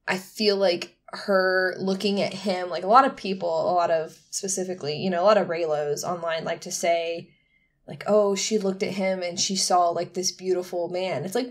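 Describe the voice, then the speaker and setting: A woman co-hosting a podcast, giving opinions casually and emphatically, with lots of "like". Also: fairly low pitch. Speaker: podcast co-host.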